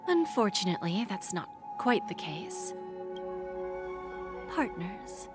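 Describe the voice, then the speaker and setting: A woman voicing a poised, calculating pawn-shop owner in a dramatic video game, speaking in a measured, insinuating way that turns breathy at phrase ends. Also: mid-range voice, very animated, loud music behind the voice. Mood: coy, intrigued.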